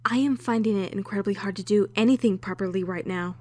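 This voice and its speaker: A woman's voice: medium pitch, quick pace, a little mellow, no room sound, natural voice. A woman playing an anxious young female trainee, earnestly narrating her own thoughts in a visual novel fan dub. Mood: shaken, anxious. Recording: music under a close, dry voice.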